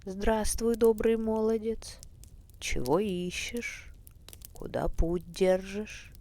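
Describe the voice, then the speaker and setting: A man's voice: sits high, fairly even intonation, pitched above the performer's own voice. Man doing a kindly wise old grandfather. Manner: slow, questioning, gently chiding.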